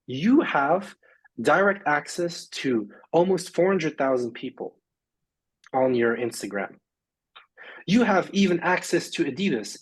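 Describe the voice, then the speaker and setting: A man's voice: a little high, slightly rough, poor audio. Rambling man guest on a podcast. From Luxembourg. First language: English. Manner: rambling, many fillers and restarts. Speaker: podcast guest, sports agent. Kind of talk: casual interview chat.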